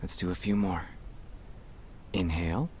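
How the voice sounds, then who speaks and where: A man speaking softly and soothingly, often slipping toward a whisper, as he gently guides breathing in a sleep-aid ASMR style. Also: low-pitched, fairly even intonation, measured pace, a little hiss or hum. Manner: soft-spoken, soothing, often dropping into whispers. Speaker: ASMR creator guiding breathing exercises.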